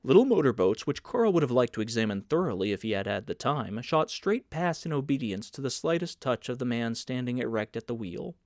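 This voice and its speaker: A man's voice: medium pitch, big pitch swings, brisk, hardly any pauses, a little mellow, dry recording. Man narrating an audiobook, dry sound. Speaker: audiobook reader. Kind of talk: scripted literary narration.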